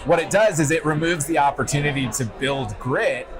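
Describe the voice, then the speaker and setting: A man's voice: fairly high pitch, normal speed, crowd noise. A man in his twenties co-hosting a podcast, explaining things conversationally, recorded at a noisy convention. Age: 20s. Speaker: podcast co-host. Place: loud festival venue. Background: loud music.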